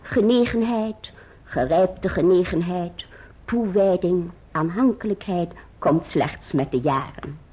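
Solemn, very husky maiden aunt. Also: female voice, fairly low pitch. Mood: solemn, hesitant. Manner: formal, halting, sententious. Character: solemn, fussy, hesitant elderly maiden aunt. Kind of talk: radio drama acting. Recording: muffled, dull old radio recording.

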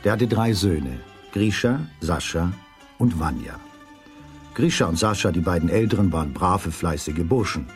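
A man's voice: sits low, expressive, moderate pace, faint music behind. A man narrating a children's fairy tale as scripted storytelling in a radio play.

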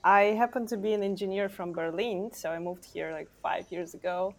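A woman from Ukraine, an engineer guest, chatting casually on a podcast and pausing often with ums. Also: medium pitch, fairly even intonation. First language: Ukrainian or Russian. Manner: thoughtful, many ums and pauses.

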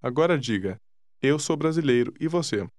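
Calm male language-course narrator. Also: mid-range voice, dry recording, studio quality. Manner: calm, deliberate prompting. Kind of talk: scripted instruction.

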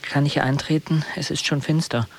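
An actor playing a searching stranger who puts short, probing questions in a poetic radio drama. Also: male voice, a little high, fairly even intonation, normal speed, slight background noise, natural voice. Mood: searching, earnest. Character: searching male stranger questioning a guardian at a threshold.

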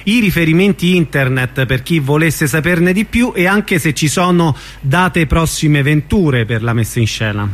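Guy hosting a radio interview, voice on the higher side, conversational. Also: animated, moderate pace, few pauses.

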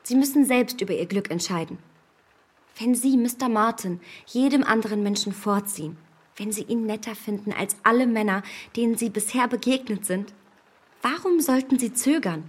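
An actress playing a confident, manipulative young gentlewoman in a period radio drama, with a poised, measured and insistent delivery. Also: a little high, breathy edge.

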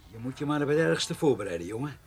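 A man's voice: mid-range voice, animated, fast talker, noisy background, close to an everyday voice. An actor playing a kindly, hesitant man in a radio drama, faltering as he consoles. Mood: reluctant, soothing. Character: hesitant, kindly man gently breaking bad news.

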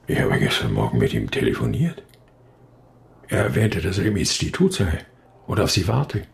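An actor playing a guarded scientist in a radio drama, hesitant and evasive, speaking in clipped phrases. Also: male voice, a bass voice, wildly swooping pitch, normal speed, vocal fry, heavily acted. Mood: probing. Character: guarded male scientist confessing uneasily to dark secrets.

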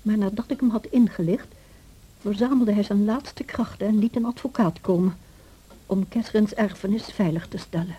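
A woman's voice: medium pitch, normal speed, dark tone, husky, lightly acted, low-quality recording. A woman playing a loyal, caring housekeeper in a radio play, recounting events in a confiding, concerned way. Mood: grave recounting.

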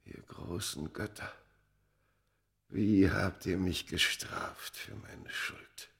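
Gravelly, tormented ancient cursed Egyptian man. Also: low-pitched, fairly even intonation, takes it slowly, full character voice. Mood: hushed, relieved anguish. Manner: solemn, theatrical, swinging from hushed to fierce outbursts. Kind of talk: German radio play acting.

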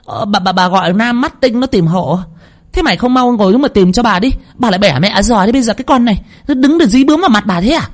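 A man voicing a scheming young concubine who whines and scolds in a shrill, bossy way. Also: lively intonation, quick pace. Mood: flustered scolding.

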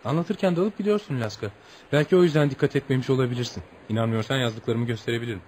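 An actor in a Turkish radio play as a courteous, patient American newspaper journalist, speaking in a reassuring manner. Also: male voice, mid-range voice, fast talker, a little mellow, natural voice. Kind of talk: Turkish radio theatre acting. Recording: noisy radio play recording.

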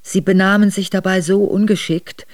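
A woman's voice: mid-range voice, steady tone, moderate pace, natural voice. An actress in a radio drama playing a steadfast aristocratic wife who recounts her memoirs in composed, formal narration. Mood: composed, sorrowful. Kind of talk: literary first-person narration in a radio drama. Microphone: close dry studio microphone.

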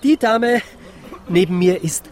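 A man's voice: sits high, expressive, slow pace, darkish tone. An actor playing a flustered, courteous man who pleads and placates, in a rhymed radio drama. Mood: polite, flustered. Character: flustered, pleading, polite adult son and brother.

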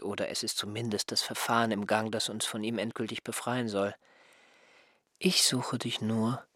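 A man's voice: fairly low pitch, pushed and bright, slightly rough, no room sound, studio quality, heavily acted. An actor playing an aggrieved male assistant who is no longer young, complaining reproachfully in a radio play. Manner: reproachful, complaining, mildly mocking. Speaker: surveyor's assistant.

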